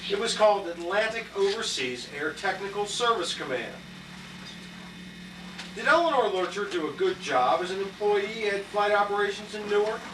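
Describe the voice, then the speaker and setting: A woman's voice: medium pitch, expressive, moderate pace. Echoey, distant woman announcing scenes. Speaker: play narrator. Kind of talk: scripted narration, scene announcements. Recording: echoey room, distant mic, clear background noise.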